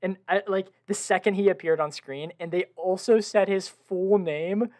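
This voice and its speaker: Excited young man gushing about movies. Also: sits high, normal speed. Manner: enthusiastic, gushing, laughs often. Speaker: podcast co-host. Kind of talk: casual film review chat. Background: washing machine at home.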